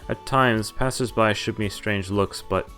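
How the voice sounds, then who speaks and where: A man's voice: on the low side, fairly even intonation, measured pace, a bit bright. A man streaming a visual novel, reading its narration and dialogue aloud in a casual, lightly acted way, with offhand reactions. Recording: music playing behind the voice. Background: game music.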